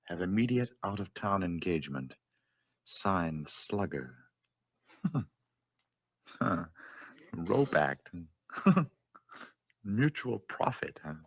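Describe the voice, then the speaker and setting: An actor playing a sinister, slyly amused killer of about forty in an old radio thriller, drawling and chuckling to himself. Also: male voice, deep voice, very animated, extremely slow, long pauses, breathy edge, dry recording, dull audio, heavily acted. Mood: sly, menacing amusement. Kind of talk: 1940s radio thriller acting.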